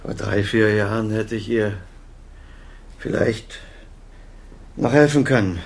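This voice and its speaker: An older actor playing a cold, authoritative chief physician in a German radio crime drama, curt and reproachful. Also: male voice, lowish voice, takes it slowly. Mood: grave.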